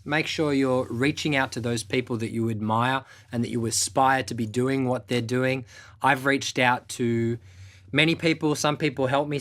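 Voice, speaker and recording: A man's voice: mid-range voice, a bit bright. A young man who hosts his own show as a music producer, giving candid motivational advice to camera in a laid-back way, with casual swearing and lots of fillers.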